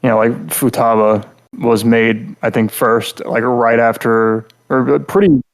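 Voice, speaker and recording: A man co-hosting a podcast, talking through a casual critique in a rambling, halting way and often repeating words mid-thought. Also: mid-range voice, level tone, rough recording. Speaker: podcast host reviewing game characters.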